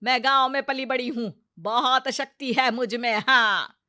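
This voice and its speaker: A woman's voice: a little high, steady tone, unhurried, bright, forceful tone, no echo, clean studio sound. A woman playing a stubborn, hot-tempered village mother-in-law in a comic cartoon story, scolding and brushing off advice with brash retorts. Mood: defiant, scolding.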